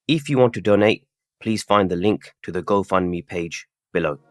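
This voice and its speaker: A man with a low, steady voice hosts a podcast in a measured, formal manner. He opens with Arabic greetings. The recording is dry and close. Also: no room sound. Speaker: podcast host. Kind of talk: podcast hosting with scripted intro.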